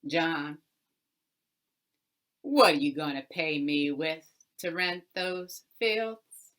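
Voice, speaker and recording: A woman's voice: sits low, lively intonation, very slow, full character voice. A woman storyteller voicing a greedy, scheming male farm landowner in a folk tale, bossy and given to spluttering bluster. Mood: smug, bossy. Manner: loud, bossy, spluttering when thwarted.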